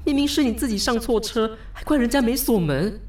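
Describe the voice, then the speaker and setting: A woman's voice: a little high, lively intonation, a little fast, a little mellow. A woman with a Taiwanese Mandarin accent playing a flustered, self-mocking young woman in an audio drama, hesitant and polite aloud, blunt when muttering to herself. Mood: self-mocking exasperation. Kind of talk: audio drama acting with spoken inner monologue.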